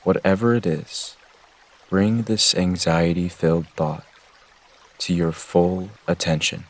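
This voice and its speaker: Slow, low-voiced man guiding meditation. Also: fairly even intonation. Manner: gentle, measured, soothing instruction. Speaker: meditation guide. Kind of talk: guided meditation narration.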